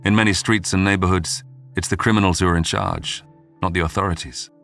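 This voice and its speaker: Very deep male documentary narrator. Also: steady tone, a little fast, creaky, a bit bright. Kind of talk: scripted dramatic true-crime narration. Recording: music bed under the voice. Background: background music.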